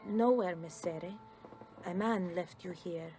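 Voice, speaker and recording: An actress playing a gentle, practical Italian woman tending the wounded in a video game, with an Italian accent. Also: on the low side, slow, a little hiss or hum. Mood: soothing, matter-of-fact.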